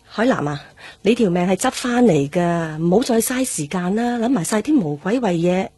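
A woman's voice: medium pitch, expressive, normal speed, warm tone, slightly rough, dry recording. A woman acting an affectionate, worried, nagging middle-aged mother in a radio drama.

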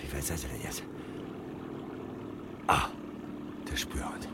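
A man's voice: extremely low pitch, slow, heavy background noise. A man acting a hunted fireman on the run in a radio play, muttering agitatedly to himself, defiant, sarcastic and afraid. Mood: tense, excited.

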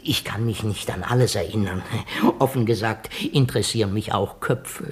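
An actor playing a fussy, evasive Parisian shoemaker obsessed with feet in a 1950s radio drama, who bursts into high, gushing exclamations. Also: male voice, normal speed, lightly acted.

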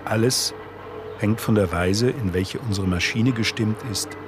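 A man giving a measured, formal literary reading of a philosophical text for a radio play. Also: on the low side, noisy background.

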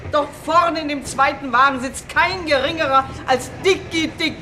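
Shrill-high bossy society lady. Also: normal speed, pushed and bright, slight background noise. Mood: commanding, excited. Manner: commanding, fussy, declamatory. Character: bossy, indignant society lady leading women's club. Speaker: actor in a radio crime satire.

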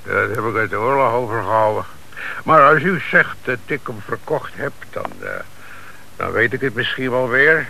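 A man's voice: medium pitch, expressive, normal speed, creaky, pushed and bright, full character voice, rough recording. A man acting in a radio play as a sly, mocking car dealer and small-time crook, chuckling, falsely polite and evasive. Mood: slyly evasive. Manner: feigned politeness, jovial evasiveness. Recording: hissy old radio play recording.

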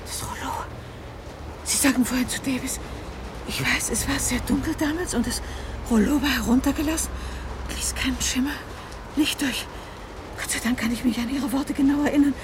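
A woman's voice: high voice, moderate pace, long pauses, noisy background, lightly acted. A woman playing a shrewd, agitated woman novelist turned sleuth in a German radio drama, speaking urgently and emphatically. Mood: puzzled, probing.

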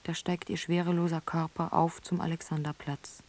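A woman acting as a detached narrator in a poetic radio play, reciting in measured, deadpan fragments. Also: sits low. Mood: emphatic, incantatory. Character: detached female narrator of a bleak city libretto. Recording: guitar music behind the voice.

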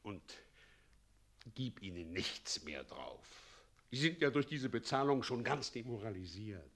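A pompous scheming tyrant, swooping wildly. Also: male voice, lowish voice, very animated, unhurried, a bit bright, exaggerated voice. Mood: smug, scheming. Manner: theatrical, swinging from commanding to wheedling. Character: scheming, pompous tyrant president, doting mockingly on his son. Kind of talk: German radio play acting.

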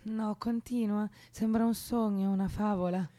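A woman's voice: medium pitch, steady tone, normal speed, warm tone, lightly acted. Actress as troubled, despondent young woman. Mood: weary, bleak. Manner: despondent, contrary, terse. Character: anguished, sleepless young woman haunted by heavy thoughts. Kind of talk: Italian radio drama acting.

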